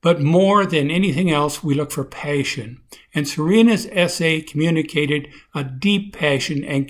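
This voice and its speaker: A man giving a measured, formal prepared announcement of a scholarship to a congregation. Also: on the high side.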